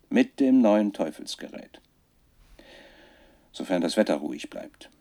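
A troubled scientist recording his diary in a radio play, in a fairly low voice. He sounds solemn and haunted, sometimes breaking off mid-thought. Faint noise and small clicks sit on the recording. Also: mellow. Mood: fearful, brooding. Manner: solemn, dated journal entries, breaking off. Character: dead male researcher's anxious, haunted diary voice on cassette. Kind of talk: radio drama, spoken diary entries.

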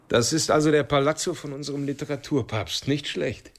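A man's voice: a little high, fairly quick, lightly acted. A man acting in a radio drama as a sardonic, casual police detective. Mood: sardonic, matter-of-fact. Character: sardonic male police detective rummaging through papers.

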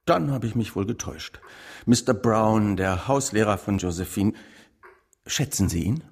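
An actor playing a suspicious chief inspector in a radio drama, with a dry, insinuating way of questioning. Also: male voice, on the low side, expressive, normal speed, gravelly, a little mellow, slightly rough, heavily acted.

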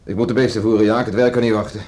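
An actor playing a headstrong, gruff farmer and beachcomber, a husband and father, in a radio play. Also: fairly low pitch, fairly even intonation, very fast, darkish tone, lightly acted. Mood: gruff, bitter.

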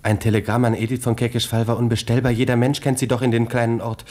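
An actor playing an anxious, impatient young army lieutenant in a radio drama, speaking in an agitated, urgent way. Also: male voice, medium pitch, flat delivery, quick pace, darkish tone, natural voice. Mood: shocked, urgent. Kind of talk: German radio drama acting.

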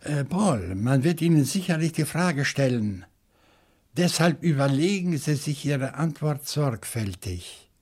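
An actor playing a bookish, lonely older French bachelor in a German radio crime play, probing and deliberate in manner. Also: male voice, a little high, lively intonation, darkish tone, husky. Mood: probing, careful. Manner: deliberate, thoughtful, with hesitant pauses.

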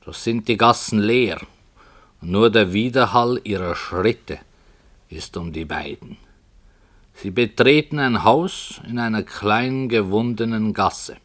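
Brooding writer in radio drama, lowish voice, really slow, solemn and literary, polite. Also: male voice, a bit bright. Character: brooding, polite male radio-play author narrating his dark tale. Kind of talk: radio play narration.

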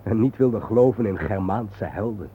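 A man's voice: on the low side, expressive, normal speed, lightly acted. An actor playing an intense, soul-searching man, earnest and declamatory in a poetic radio drama. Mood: reverent, sombre. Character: intense, soul-searching man lost among the war dead. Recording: muffled, noisy old radio play recording.

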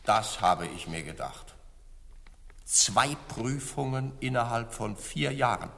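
An actor playing a sarcastic, pompous university examiner in a radio play, condescending and theatrical. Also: male voice, medium pitch, lively intonation, slow, creaky, bright, forceful tone, a little hiss or hum, full character voice. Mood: scornful. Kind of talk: German radio play acting. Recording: reverberant hall.

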